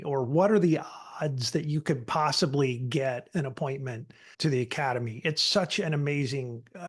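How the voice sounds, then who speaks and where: A middle-aged man hosting an interview, friendly and chatty, asking long, rambling questions. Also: fairly high pitch. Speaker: host.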